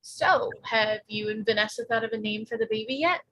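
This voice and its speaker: Blunt teen girl, played by a woman, sharp forceful tone, slightly high, quick with wisecracks, table-read drama. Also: rough recording. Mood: dry, chatty. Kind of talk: live script table read.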